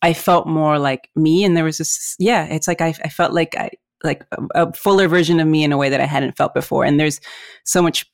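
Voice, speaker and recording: A woman's voice: low-pitched, steady tone, a little fast, creaky, no room sound, studio quality. A woman who grew up in Mexico and whose first language is Spanish, a podcast guest speaking earnestly and slipping in Spanish phrases. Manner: earnest, mixes Spanish phrases, repeats words. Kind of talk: casual podcast conversation.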